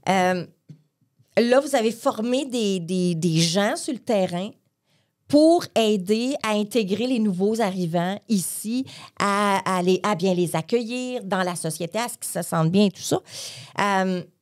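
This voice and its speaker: A woman's voice: mid-range voice, a little slow. A woman with a Québécois accent hosting a round-table panel, asking questions in a conversational way. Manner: conversational questioning, frequent fillers. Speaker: talk show host. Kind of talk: panel discussion.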